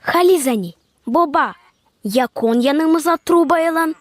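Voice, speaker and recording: A woman's voice: high-pitched, animated, measured pace, noisy background. A woman playing a childlike young animal band member in a cartoon. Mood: eager.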